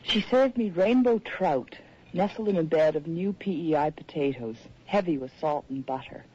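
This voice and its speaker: A woman's voice: low-pitched, animated, moderate pace, warm tone. An actress in a radio drama playing a moody, reflective woman telling her own story, on a dull, hissy recording. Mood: brooding, reflective. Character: moody, reflective city woman narrating her strange tale. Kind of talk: first-person radio drama narration.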